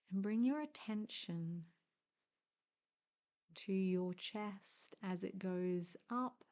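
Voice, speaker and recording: A woman guides a meditation in a very dark, mellow voice. She speaks extremely slowly, leaving long pauses between short phrases. Her tone stays even and hushed, gentle and soothing throughout. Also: fairly low pitch.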